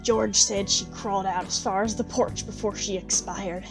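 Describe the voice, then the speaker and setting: A woman's voice: medium pitch, fairly even intonation, moderate pace, soft background music, natural voice. A woman playing a poor, plain-spoken country widow, bitter and unsentimental, in an audio drama. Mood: matter-of-fact.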